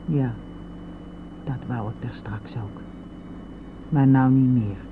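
A woman's voice: a bass voice, takes it slowly, narrow, tinny audio. Radio play role: wounded, insistent old mother, anguished and unyielding; thin, slightly noisy vintage sound. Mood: resigned, hushed. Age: older. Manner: insistent, aggrieved, dropping into near-whispers. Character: anguished, stubborn elderly mother of a grown son.

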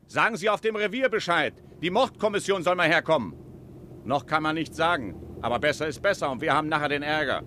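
Commanding harbour cop, raised pitch, barking orders over clear noise, vintage radio drama. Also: male voice, lively intonation, fast, pushed and bright. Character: brisk, commanding harbour police boat officer. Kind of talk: 1950s German radio crime drama acting. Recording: noisy old radio play, engine-like noise.

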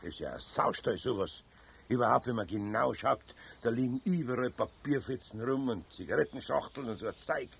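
Old bavarian workshop guy in a kids radio play, really raspy and creaky, hearty, grumbles but kindly. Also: medium pitch, animated, a little fast. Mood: indignant, grumbling. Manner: homely, hearty, grumbling good-naturedly. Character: good-natured, grumbling older Bavarian master craftsman. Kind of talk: 1970s German children's radio play.

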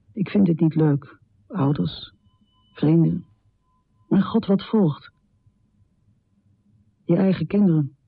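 Husky, gravelly brooding woman poet. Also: low-pitched, no echo, phone-quality sound, exaggerated voice. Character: troubled, confessional American woman poet, heavy drinker, death-obsessed. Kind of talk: radio drama monologue and poetry recital.

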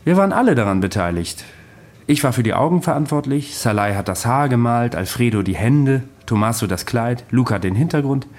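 A man's voice: medium pitch, animated, brisk, darkish tone, lightly acted. An actor playing a dry, candid immortal witness recounting his long past in a conversational radio drama. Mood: wry, reminiscing. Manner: matter-of-fact, wry.

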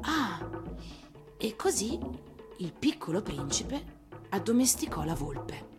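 An actress reads a fairy tale for radio in a medium-pitched voice. Her narration is expressive, in storybook style, with music underneath. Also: slow pace.

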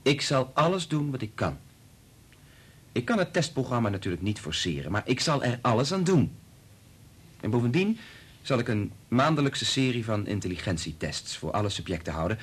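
An actor in a Dutch radio play playing a principled research doctor who is firm, emphatic and quick to anger. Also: male voice, mid-range voice, very animated, breathy edge. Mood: earnest, measured. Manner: emphatic, argumentative, swearing.

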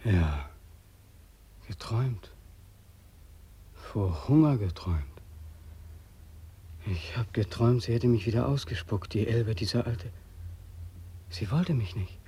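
A man's voice: fairly low pitch, animated, slow pace, pauses often, dark tone, breathy edge, heavily acted. A man acting in a radio play as a freezing, limping war returnee, weary, bitter and hopelessly sad in tone. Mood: dazed, weary. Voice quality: sad, desolate voice. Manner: weary, lamenting, emphatic.

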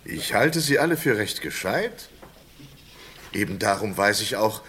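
Slyly courteous condemned prisoner. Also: male voice, lowish voice, expressive, a bit bright, a little hiss or hum. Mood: mock courtesy. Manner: elaborately polite, hesitant, drawn-out menace. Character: sly condemned male prisoner, unruffled, mockingly courteous. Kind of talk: German radio crime play acting.

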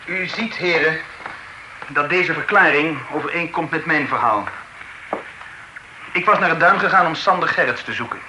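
An actor playing an accused gentleman who protests his innocence with incredulous indignation. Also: a little high, moderate pace, long pauses, reverberant, noisy background, natural voice. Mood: earnest. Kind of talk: period radio drama acting.

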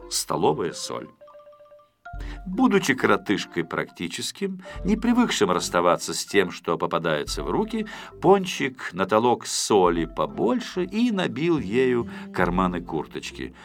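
A man narrating a children's story from a script in an expressive, theatrical storytelling manner with dramatic emphasis. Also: medium pitch, gravelly. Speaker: audiobook narrator.

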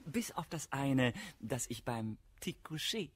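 Slow, swooping pompous courtier. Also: male voice, mid-range voice, big pitch swings, breathy edge, dry recording, full character voice. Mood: self-important. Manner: affected, drawn-out. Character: pompous, gallant, fawning courtier. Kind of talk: German radio play, classical verse comedy.

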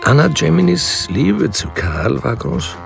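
An actor as the detached, ironic narrator of a crime story, reading scripted literary narration in a radio play. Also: male voice, medium pitch, sing-song, unhurried, creaky, exaggerated voice. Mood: dry, ironic. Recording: music behind the voice.

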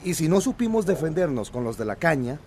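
A man's voice: a little high, sing-song, a little fast, darkish tone, a little hiss or hum. An actor playing an earnest village man determined to take action, in a radio drama. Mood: resolute.

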